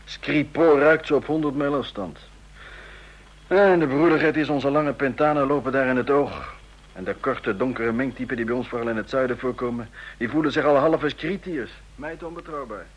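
A man acting a roguish spy colleague in a radio drama. His mid-pitched voice is husky, his phrasing clipped and sardonic, with an envious edge. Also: moderate pace, lo-fi sound, close to an everyday voice. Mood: grudging.

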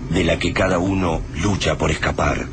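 A man's voice: low-pitched, fairly even intonation, moderate pace. A man narrating a radio drama as a grave, ominous storyteller. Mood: solemn. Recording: clear noise and ambience behind.

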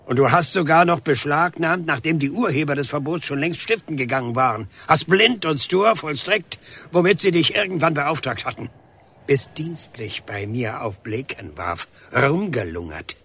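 A husky, defiant old painter. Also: male voice, fairly high pitch, animated, fairly bright tone, exaggerated voice. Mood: accusing. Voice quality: husky, rough. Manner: deliberate, insistent, grunting hesitations. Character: aggrieved, defiant older painter confronting a policeman. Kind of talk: radio drama acting.

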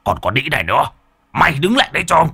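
A man voicing a hot-tempered, foul-mouthed middle-aged male exorcist who curses gruffly. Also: fairly high pitch, very animated, racing, pushed and bright, no room sound, clean studio sound, pitched above the performer's own voice, heavily acted. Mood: angry. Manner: cursing, gruff.

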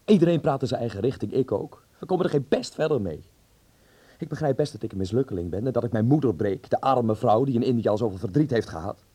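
Quick, defiant, wounded young man. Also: mid-range voice, expressive, warm tone, slightly rough. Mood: bitter, self-pitying. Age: young adult. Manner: impulsive, dramatic, stubborn. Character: defiant, wounded, self-pitying young artistic son. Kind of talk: radio drama acting.

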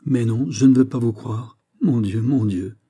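A man's voice: mid-range voice, unhurried, dark tone, slightly rough. A man voicing a proud, wounded king in an audiobook, with a sarcastic, imperious and emphatic delivery. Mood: bitter mockery.

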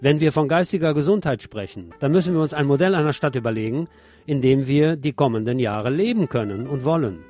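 A man's voice: medium pitch, animated, a little fast, darkish tone. A man presenting on radio, reading scripted news and commentary. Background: music.

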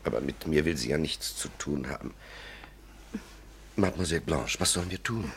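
A man's voice: very deep voice, moderate pace, a little hiss or hum. A man playing a polite French marquis in a radio drama, insistent and coaxing. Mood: urgent, coaxing.

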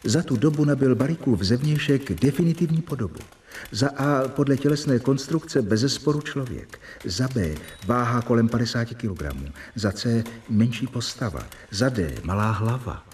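An actor playing an earnest young doctor in a radio drama, explaining and keeping a diary. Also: male voice, medium pitch, lively intonation, a little mellow, a little hiss or hum, lightly acted. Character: earnest young male doctor, diligent and eager. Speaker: doctor, professor's assistant.

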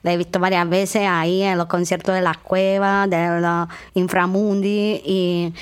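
A woman's voice: lowish voice, little pitch movement, measured pace, smooth, lightly acted. A woman playing a devoted female punk fan who rambles on chattily in a mock documentary. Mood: enthusiastic.

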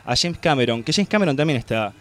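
Male radio film columnist, Buenos Aires accent, mid-pitched, colloquial and digressive, music underneath. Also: expressive, fast. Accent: Argentine, Buenos Aires. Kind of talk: casual opinion commentary. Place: radio studio.

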